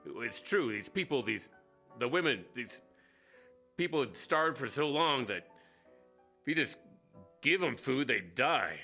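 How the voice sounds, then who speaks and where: A man acting in a radio drama as a gruff, hard-drinking, cynical war veteran who manages a station, blunt and sardonic, with an American accent. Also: mid-range voice, very animated, measured pace, pauses often, pushed and bright, soft background music, heavily acted. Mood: haunted, agitated. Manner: blunt, sardonic, stumbling over words.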